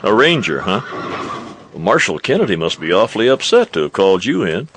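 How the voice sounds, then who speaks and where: A folksy stable keeper. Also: male voice, fairly low pitch, sing-song, fairly quick, a bit bright, a little hiss or hum. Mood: gossipy. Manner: folksy, chatty, drawling. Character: friendly talkative male stable owner. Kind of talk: radio drama acting.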